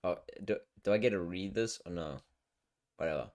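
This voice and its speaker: A man's voice: low voice, normal speed, darkish tone. A man hosting a podcast in a casual, conversational style. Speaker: podcast host. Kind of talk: casual chat.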